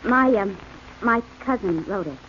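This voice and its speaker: A woman's voice: medium pitch, animated, measured pace, lightly acted. A woman playing a sweet, teasing young girlfriend in 1940s radio acting. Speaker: supporting actress. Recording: dull, slightly hissy old radio broadcast.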